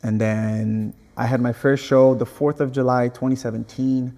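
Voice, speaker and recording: A man's voice: mid-range voice, steady tone, normal speed, mellow, long reverb tail. A young man from Angola, whose first language is Portuguese, chatting earnestly in an interview and restarting his phrases. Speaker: guest artist. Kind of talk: casual interview chat.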